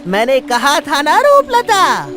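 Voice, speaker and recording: A woman voicing a friendly, chatty talking forest bird in a cartoon story, with an excited, drawn-out delivery. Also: sits high, over music, heavily acted. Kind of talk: Hindi cartoon moral story voice acting.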